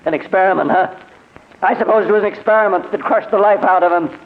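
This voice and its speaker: An actor playing a blunt, excitable small-town sheriff with folksy speech in a 1940s radio drama. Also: male voice, sits high, fast. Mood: startled, suspicious. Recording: thin, narrow vintage radio recording.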